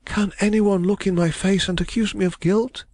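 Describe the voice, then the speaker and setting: A man's voice: high-pitched, steady tone, normal speed, darkish tone, dry recording, pitched above the performer's own voice. A man reading a novel aloud, voicing an anguished, proud young woman who pleads and trembles as she speaks. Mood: defiant. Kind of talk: audiobook character voice.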